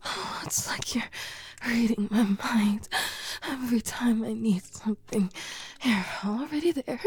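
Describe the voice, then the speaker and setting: A woman speaking in a soft, breathy whisper in an intimate audio roleplay, pleading and sleepy. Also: on the high side.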